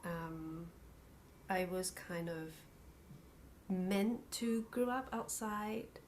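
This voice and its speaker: A middle-aged woman from Thailand and New Zealand, telling her life story as an interview guest in a halting, reflective, breathy way on a video call. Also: lowish voice. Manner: halting, reflective, phrases broken by pauses. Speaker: interview guest, spiritual practitioner. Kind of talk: personal life story in conversation. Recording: video call with faint background noise.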